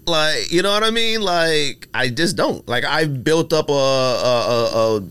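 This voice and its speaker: A man's voice: fairly high pitch, animated, unhurried, a bit bright, clear, resonant tone. A man in casual sports debate on a podcast, opinionated and informal, often repeating phrases for emphasis.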